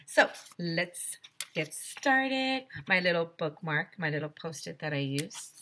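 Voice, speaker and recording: Woman, lowish pitch, lively swooping intonation, teacher reading a children's story, explanatory asides. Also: takes it slowly, slight background noise. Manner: animated, explains and repeats for young listeners. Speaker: teacher reading aloud to pupils. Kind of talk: expressive children's read-aloud with asides.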